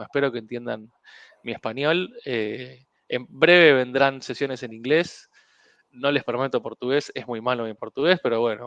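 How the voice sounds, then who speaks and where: A man hosting a security webinar in a relaxed, rambling way, with many fillers and English tech terms. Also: mid-range voice, no room sound. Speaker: webinar host. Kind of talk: casual technical presentation.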